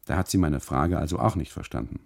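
A man's voice: rumbling low, fast talker, creaky, darkish tone, heavily acted. A man voicing a suspicious, easily amused tourist in a radio monologue, emphatic, insistent and derisive. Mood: probing.

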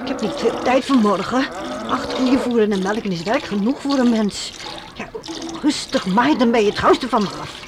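An actress playing a kind but busy farm mother who soothes and gently scolds her boys in a Dutch radio play. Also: on the high side, moderate pace, natural voice. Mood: busy, soothing. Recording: noisy old radio play recording.

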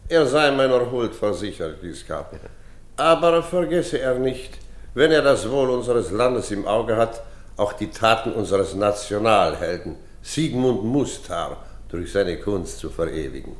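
Roomy echo surrounds a self-important, gracious monarch of a tiny state. He speaks low and formally, in archaic courtly phrases, as in a radio play. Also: lively intonation, a little slow. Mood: regal, gracious. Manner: stilted, archaic, addresses others in third person. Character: pompous, gracious princely ruler of tiny principality. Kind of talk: German radio play acting. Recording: roomy, echoing studio sound.

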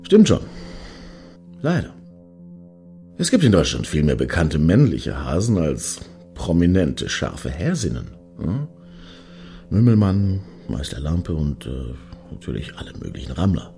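An actor playing a crude, grumbling, pedantic clubgoer in a satirical radio play, blunt and opinionated. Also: male voice, a bass voice, huge pitch swings, a little slow, creaky, long pauses, warm tone, faint music behind, heavily acted.

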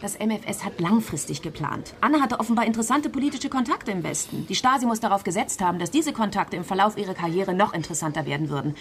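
An actress as a female public prosecutor in a radio crime drama. She is sharp and self-assured, with a mid-pitched voice and a direct, challenging way of talking. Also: animated, fast talker, few pauses, lightly acted. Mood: insistent, explaining.

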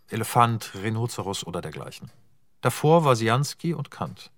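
A man calmly reading stage directions as narrator of a radio play. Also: medium pitch, dry recording, clean studio sound. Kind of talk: scripted narration.

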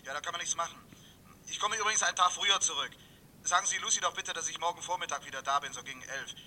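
A man's voice: fast, bright, forceful tone. An actor playing a hesitant, courteous, reserved businessman calling from abroad over a filtered telephone line in a German radio crime drama. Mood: concerned, polite. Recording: thin telephone line with rumbling noise.

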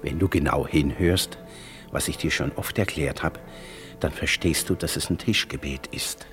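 A poor old London errand porter in a vintage radio drama. He is low-voiced, tender and whimsical, savouring his words theatrically. Music runs through the old broadcast recording. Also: normal speed, a bit bright. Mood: warm, devout. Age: older. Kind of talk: 1950s German radio play acting. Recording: 1950s radio production with music.

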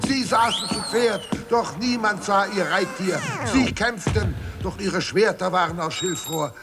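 A man's voice: sits high, unhurried, over music. A man playing an overwrought stage tragedian, declaiming bombastically in a melodramatic radio play. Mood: solemn declaiming. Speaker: actor in a radio drama. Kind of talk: hammy theatrical declamation. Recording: loud hiss and noise behind.

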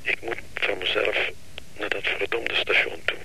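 Gravelly, troubled lover. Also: male voice, lowish voice, steady tone, pushed and bright, full character voice. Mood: weary, pleading. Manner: halting, weary, evasive. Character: troubled, evasive man pleading with his lover for time. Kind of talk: Dutch radio drama acting. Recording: noisy radio play recording, telephone scene.

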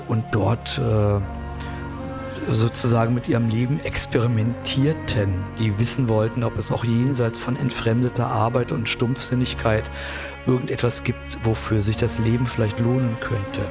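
A man reflecting in a documentary interview, hesitant and searching for his words. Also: mid-range voice.

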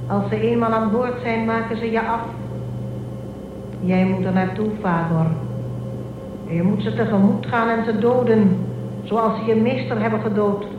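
A woman playing a composed, scheming android guide in a radio drama, speaking with deliberate instruction. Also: fairly high pitch, fairly even intonation, lightly acted. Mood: commanding, coaxing. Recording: very echoey, thin phone-like band, noisy, distant.

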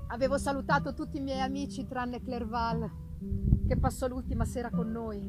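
A woman with a high voice narrates a novel in a theatrical, deliberate manner. She pauses for effect between phrases, and live music plays clearly behind her. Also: little pitch movement. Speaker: reader of a novel, narrating in first person. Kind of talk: dramatic literary narration, live performance. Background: live musical accompaniment.

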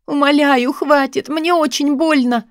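A woman's voice: high-pitched, steady tone, unhurried, no room sound, clean studio sound, voice pushed up. A woman voicing a terrified young woman in an audiobook drama, crying and making frantic, desperate pleas. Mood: frantic pleading.

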